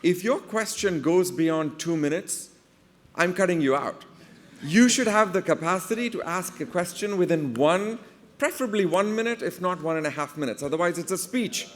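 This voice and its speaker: A man with a fairly high voice moderates a panel in a direct, informal way. He gives clear instructions, and the hall adds a long reverb around his words. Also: lively intonation. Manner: direct, brisk instructions to audience. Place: conference hall with audience.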